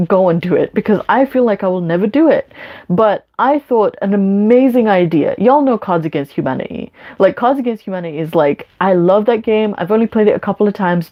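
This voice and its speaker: A woman's voice: mid-range voice, highs cut off. A young woman live streaming, talking candidly and rambling with many fillers and restarts.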